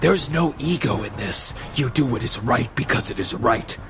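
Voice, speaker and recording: A man's voice: mid-range voice, expressive, moderate pace, over music, lightly acted. A man acting as a stern, righteous masked vigilante in an audio drama, speaking in a solemn, formal, commanding way.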